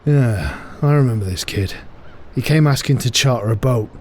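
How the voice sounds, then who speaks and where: Guy acting a tired gruff harbour master, middle-aged man, blunt and wary, audio drama. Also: medium pitch, animated, normal speed, a little mellow, a little hiss or hum, close to an everyday voice. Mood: matter-of-fact.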